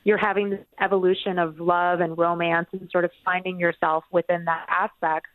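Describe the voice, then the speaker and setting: Tinny-line woman, lowish voice. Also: little pitch movement, normal speed, no room sound. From Colombia. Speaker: author, interview guest. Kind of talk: conversational interview. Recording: tinny phone-like radio line.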